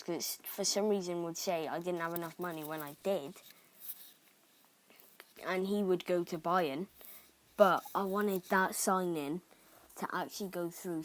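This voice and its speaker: A young man from Cardiff with a Cardiff Welsh accent, chatting excitably through gameplay commentary with rambling asides. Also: sits high. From Cardiff, Wales. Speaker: gaming YouTuber.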